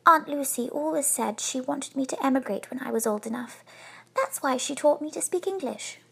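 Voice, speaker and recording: Storybook young bear, female reader: tiny high voice, earnest, courteous, a little self-important. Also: moderate pace. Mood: earnest, wistful. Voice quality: small, clear voice. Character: small young male bear, polite, proud, earnest stowaway. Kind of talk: children's storybook reading.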